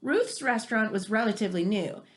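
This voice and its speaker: A woman's voice: medium pitch, lively intonation, a little fast. A woman reading a nonfiction book aloud for children, chatty and exclamatory, with casual asides.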